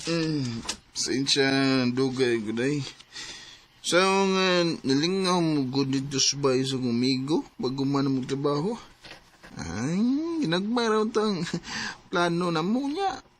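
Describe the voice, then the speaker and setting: A man acting a brash, hot-tempered young husband in a Filipino radio drama, loud and emphatic. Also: a little high, animated, slow pace, a little hiss or hum. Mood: drunk, slurring.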